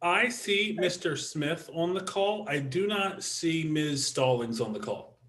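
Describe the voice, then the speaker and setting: A man's voice: a little high, lively intonation, a little slow, a bit bright. A man chairing a council meeting over a video call, guiding the proceedings with frequent ums and restarts.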